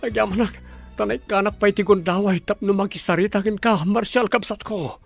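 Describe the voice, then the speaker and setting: An actor with an Ilocano accent playing a remorseful, earnest older brother freed from prison, in a drama. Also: male voice, sits high, steady tone, fairly quick, vocal fry, faint music behind. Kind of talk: drama dialogue.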